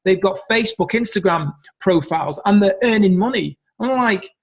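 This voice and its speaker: A man's voice: sits high, animated, moderate pace. A middle-aged man giving an earnest motivational business talk, stressing points by repeating them. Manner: earnest, emphatic, repeats key phrases. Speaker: business coach.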